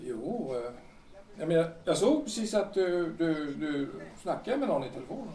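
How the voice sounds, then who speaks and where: An earnest, nervous male passer-by played by an actor in an audio drama, with a high, very lively voice. He stammers and pleads, pressing his point. He sounds set back from the mic in a roomy recording. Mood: urging. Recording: roomy, distant mic with noise.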